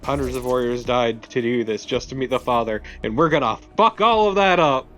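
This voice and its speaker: A man's voice: mid-range voice, fairly quick, fairly bright tone, faint music behind. A man casually co-commentating on gameplay, exclamatory and prone to swearing. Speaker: let's play co-commentator. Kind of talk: casual chat over gameplay.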